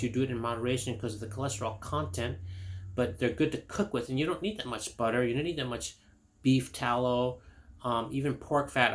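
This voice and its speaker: A middle-aged man from Indonesia, a chiropractor, giving a casual health talk to camera. He rambles with many fillers, restarts and personal stories. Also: mid-range voice.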